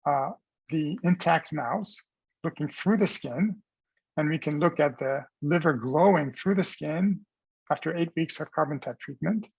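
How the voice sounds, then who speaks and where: Husky, creaky-voiced man presenting research. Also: fairly high pitch, no echo, highs cut off. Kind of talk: scientific conference presentation.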